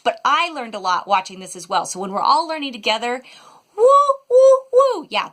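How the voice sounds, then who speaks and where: A woman's voice: mid-range voice, a bit bright. A middle-aged American woman giving a casual, chatty video review with an enthusiastic, emphatic delivery. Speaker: homeschool mom reviewing educational resources.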